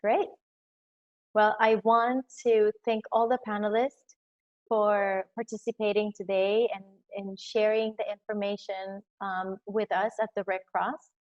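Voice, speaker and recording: A woman moderating a virtual panel, brisk and polite, with a few "um" fillers. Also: medium pitch, little pitch movement, highs cut off. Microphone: video call.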